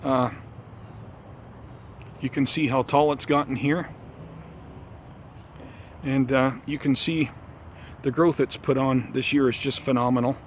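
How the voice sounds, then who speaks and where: A man's voice: medium pitch, steady tone, a little slow, long pauses. Casual man vlogging outdoors with background noise. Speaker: host of a bonsai gardening vlog. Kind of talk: casual vlog chat to viewers. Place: outdoors in a garden or yard.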